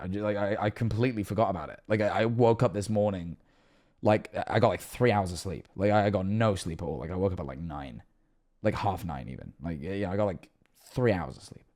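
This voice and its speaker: A young streamer in his twenties talks from his bedroom, his somewhat low voice rough and hoarse as it wears out. He rambles, cuts himself off and lets sentences drop away into mutters. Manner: rambling, self-interrupting, trails off quietly. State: losing his voice, rough throat. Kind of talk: casual reaction stream chat. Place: bedroom streaming setup indoors. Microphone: headset on a streaming setup.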